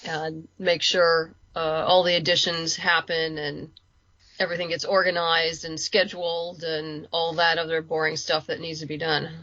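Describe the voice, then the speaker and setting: A woman's voice: low voice, flat delivery, normal speed, sharp tone, dry recording. A woman chatting casually as an interview guest, talkative and a little self-deprecating. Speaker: podcast guest, writer and producer. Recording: dull lo-fi voice track.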